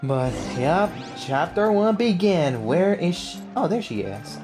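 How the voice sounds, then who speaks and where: Male let's-player, chatty and excitable, swooping pitch, slowish delivery, talking over game music. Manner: excitable, reactive, repeats himself. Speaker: gaming YouTuber. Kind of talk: casual game playthrough commentary.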